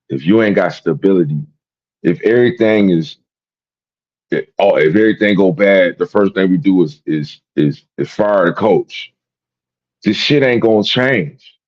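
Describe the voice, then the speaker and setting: Deep-voiced man ranting about basketball. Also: expressive, normal speed, darkish tone, muffled recording. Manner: rambling, repetitive, profane. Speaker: sports podcast host. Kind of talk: casual sports commentary.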